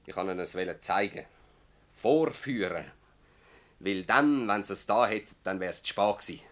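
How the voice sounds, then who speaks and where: An actor playing an anguished, accusing village man in a radio drama, intense and brooding, with a Swiss German accent. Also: on the low side. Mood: grim, brooding. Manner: intense, brooding, swinging between hushed and loud.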